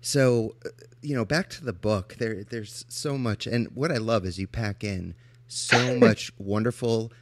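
A man's voice: fairly low pitch, a little slow, no echo. A man hosting a podcast interview, affirming his guest and asking questions with frequent ums. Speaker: podcast host.

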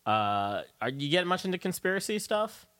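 A man hosting a casual conversational interview, thinking aloud haltingly and putting in follow-up questions. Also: sits high, very animated, a bit bright, no room sound. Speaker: radio show host interviewing a guest.

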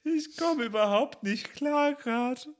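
A man chatting casually and jokingly, excitable and amused. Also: very high-pitched, takes it slowly. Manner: excitable, amused, breaks into laughter. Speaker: podcast player in an improvised audio play.

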